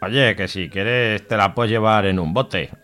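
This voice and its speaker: An actor playing an unflappable, crude, money-minded surgeon in a comic radio serial. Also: male voice, lowish voice, measured pace, music in the background, close to an everyday voice. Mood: nonchalant.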